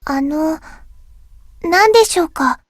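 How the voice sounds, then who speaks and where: A shy teenage schoolgirl from a visual novel. Her voice is high and soft, with wistful, hesitant, polite phrasing. The sound is close and dry. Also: animated, normal speed, no room sound. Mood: shy, gentle. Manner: polite, hesitant, speaking in short gentle phrases. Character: shy, wistful teenage schoolgirl, recently back from long absence. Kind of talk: Japanese visual novel voice acting.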